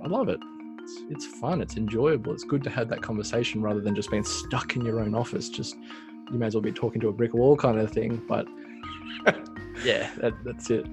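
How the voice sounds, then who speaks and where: A man's voice: medium pitch, fairly quick, music in the background. A man in his thirties, a small business owner, talking reflectively in a casual interview with many fillers. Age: 30s.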